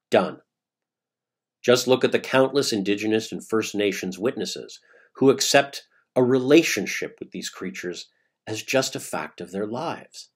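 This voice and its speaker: Deep-voiced man in dramatic monologue. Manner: emphatic, rhetorical questions, dramatic pauses. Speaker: playwright reading own monologue. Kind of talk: dramatic scripted monologue, conversational storytelling.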